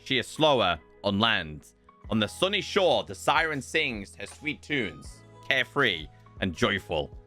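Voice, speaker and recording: Animated, bright-voiced man presenting a game. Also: lowish voice, measured pace. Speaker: gaming video host. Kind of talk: game showcase commentary, part scripted narration, part live reaction. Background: music.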